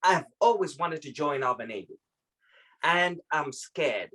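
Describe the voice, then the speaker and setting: A man's voice: on the high side, lively intonation, measured pace, sharp tone. A man originally from Zimbabwe speaking reflectively in a video-call discussion, often repeating words as he searches for them. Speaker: panel participant.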